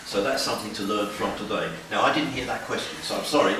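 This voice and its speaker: Booming man in his seventies. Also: fast talker, background noise. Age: 70s. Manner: strong projecting voice. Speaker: guest speaker at a school. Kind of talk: talk and Q&A with schoolchildren. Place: school hall. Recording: echoey hall from far off, children's chatter.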